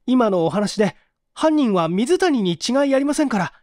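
A male reader plays a young gentleman grieving his father in a mystery audiobook. He speaks politely but urgently, pitched high and swinging widely. The recording is dry. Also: very animated, moderate pace, no echo. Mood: agitated, pleading. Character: upset young gentleman, bereaved son demanding justice. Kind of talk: dramatic audiobook reading.

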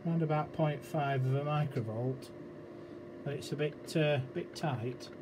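A man giving an informal hands-on technical walkthrough, halting and thinking aloud as he works at a bench. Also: a little high. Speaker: electronics repair demonstrator. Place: indoors at an electronics workbench. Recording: very noisy amateur room recording, loud hiss. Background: loud electronic noise.

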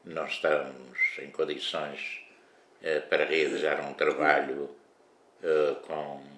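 Very deep-voiced man host, formal. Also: level tone, fairly bright tone. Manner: formal, measured, with hesitations. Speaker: community TV host. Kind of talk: formal talk to camera. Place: living room at a table, indoors. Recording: echoey room.

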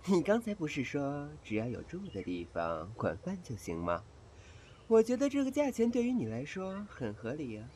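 A man's voice: on the high side, huge pitch swings, normal speed, noisy background. A man playing a languid, shrewd, handsome young pleasure-house boss in a comedy audio drama, haughty and drawling. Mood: smug, bargaining.

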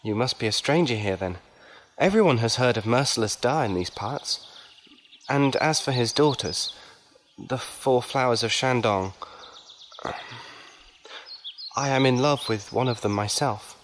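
Casting: gentle, lovelorn musician played by a man, medium pitch, earnest and timid, faint background noise. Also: expressive, moderate pace, natural voice. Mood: smitten. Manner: earnest, wistful, hesitant. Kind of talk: audio drama acting.

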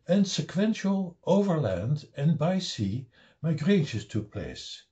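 An older man with a Dutch accent giving a slow, deliberate slideshow lecture on his own paper, with wry asides, recorded on a webcam at home. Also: medium pitch, warm tone, dull audio.

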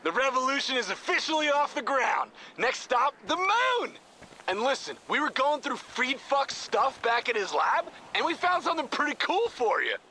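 An actor voicing an excitable, foul-mouthed stoner gang leader in a video game, ranting exuberantly. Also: male voice, very high-pitched, normal speed, exaggerated voice, low-quality recording. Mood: hyped. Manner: exuberant, crude, hyped-up ranting. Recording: loud vehicle noise behind.

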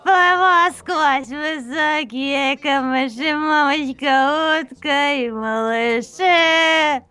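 A woman's voice: sits high, extremely slow, pushed and bright, soft background music, heavily acted. A woman voicing a dizzy spaced-out girl. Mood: dazed.

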